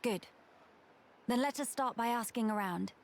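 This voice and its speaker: Dignified noblewoman, higher-pitched. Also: measured pace. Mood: encouraging, composed. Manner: formal, commanding. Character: dignified, resolute young noblewoman leader. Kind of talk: video game voice acting.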